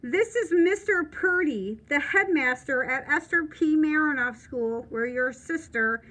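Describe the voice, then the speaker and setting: A formal school headmaster from a storybook, voiced by a woman reader in a high register. He is apologetic but will not budge, speaking with brisk, official politeness. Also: expressive, a bit bright, poor audio. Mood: formal, regretful.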